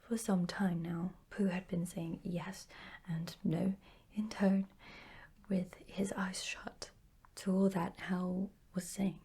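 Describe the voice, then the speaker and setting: Woman bedtime story reader for children: hushed, breathy, creaky at the edges, soothing and unhurried. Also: fairly low pitch, little pitch movement.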